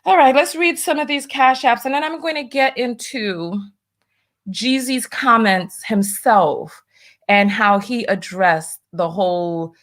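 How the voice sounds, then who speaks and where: A woman's voice: a little high, takes it slowly, a bit bright. A woman from New York with a lightly masked New York accent, hosting a casual livestream, reading viewer donations and making wry asides as she does her makeup. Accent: New York, partly masked. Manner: chatty, wry asides, doing her makeup while talking. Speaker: livestream host.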